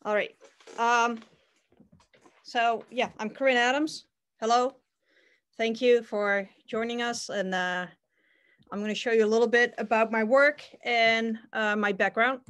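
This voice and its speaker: Middle-aged Dutch-accented woman, teacher presenting over a call, steady and plain. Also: fairly high pitch, measured pace, pauses often, clear, resonant tone. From Netherlands. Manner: plain, steady, with frequent "uh" fillers. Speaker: ceramics teacher and artist. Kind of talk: presenting her work over a video call. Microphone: video call.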